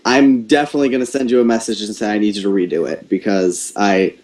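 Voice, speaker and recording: A young man with a mellow, dark voice briefs a group informally over a call. He is blunt and exacting, and the room echoes around him. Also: on the low side, steady tone, quick pace. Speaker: audio editor of an amateur radio play. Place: online group voice call, roommates nearby. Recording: roomy, echoing voice-call sound.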